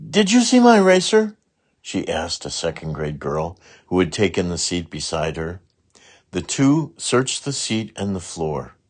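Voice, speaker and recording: A man's voice: sits low, measured pace, breathy edge. A man narrating a children's storybook expressively, with dramatic emphasis on words. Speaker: children's book read-along narrator.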